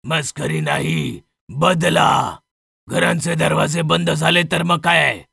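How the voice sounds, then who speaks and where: A man voicing the vengeful, menacing ghost of a murdered mango seller, speaking in slow threats in a horror story. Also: fairly high pitch, lively intonation, creaky, sharp tone, breathy edge, no room sound, studio quality, heavily acted.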